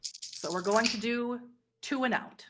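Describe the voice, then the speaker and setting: A woman working as game master, narrating a tabletop horror roleplay game in a measured way and directing the players. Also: on the high side, background noise. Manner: measured, directing players through scenes and rolls.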